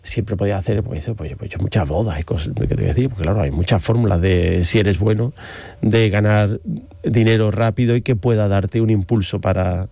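A man's voice: lowish voice, a little fast, gravelly, mellow, dry recording. A middle-aged man from Spain with an Andalusian accent, talking as an interview guest in a rambling, hesitant way. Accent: Andalusian Spanish. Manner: rambling, hesitant, with many fillers and restarts. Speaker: guest, photographer. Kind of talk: casual interview conversation.

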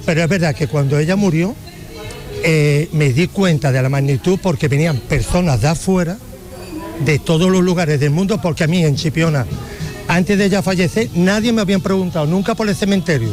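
A man who waits tables at a beach bar answers a reporter's questions in a fairly high voice. He tells his stories with easy, relaxed humor. Faint bar music plays behind him. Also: fairly quick. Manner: relaxed, anecdotal storytelling. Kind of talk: interview answers.

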